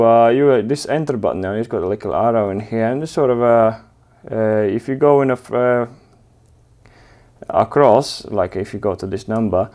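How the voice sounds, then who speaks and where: Man, lowish voice, hesitant hands-on explainer recorded in a workshop. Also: a little mellow. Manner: halting, many fillers and restarts, plain explanatory tone. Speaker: technician demonstrating a drive. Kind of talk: hands-on tutorial. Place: workshop with wooden wall panel, indoors.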